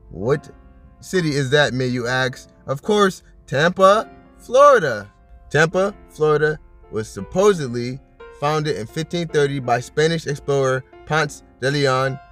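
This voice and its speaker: Guy vlogging, laughs a lot, rambles w fillers, kinda just-woke-up bassy, soft music in back. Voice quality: deep and bassy from just waking up. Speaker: vlogger host. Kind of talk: casual chat and reading out list items.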